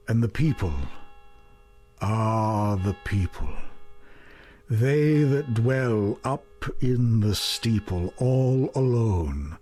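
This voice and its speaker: A man's voice: lowish voice, darkish tone. A man giving an intense, theatrical poetry recitation, drawing out repeated words. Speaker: poetry reader. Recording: music playing behind the voice. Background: music.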